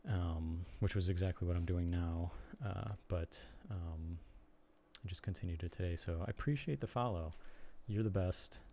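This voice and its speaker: Young man, Chicago accent, low mellow voice, rambling live-stream chat. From Chicago area, United States. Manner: rambling, halting, lots of ums and restarts. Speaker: streamer and blogger.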